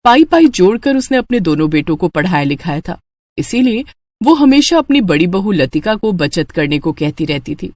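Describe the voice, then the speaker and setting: A woman's voice: lowish voice, very animated, moderate pace, a little mellow. A woman narrating a scripted moral story for children.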